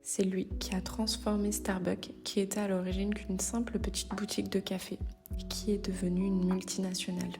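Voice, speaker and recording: A woman's voice: mid-range voice, flat delivery, normal speed, creaky. A woman hosting a motivational podcast, speaking straight to the listener in a familiar, direct way with the odd hesitation. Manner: direct, addresses listener informally, occasional hesitations. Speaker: podcast host. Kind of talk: motivational solo podcast talk.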